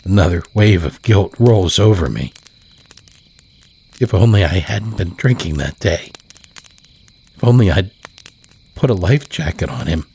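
A man narrating scripted horror fiction in a measured, deliberate way. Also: low-pitched, lively intonation, a little mellow, slightly rough. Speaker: storyteller, narrator.

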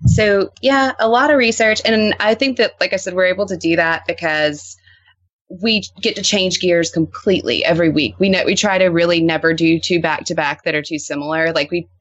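A woman's voice: fairly low pitch, a little fast, dry recording, lo-fi sound. A woman talking as a podcast guest, casual and wry, often acting out bits of imagined dialogue. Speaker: podcast guest, co-host of own show. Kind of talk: casual interview chat.

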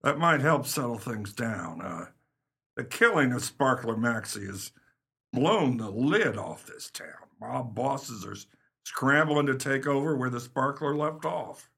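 A man playing a gruff, brisk 1930s police captain in a pulp crime radio play, with a husky voice. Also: mid-range voice, lively intonation, pushed and bright, exaggerated voice. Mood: brisk, probing.

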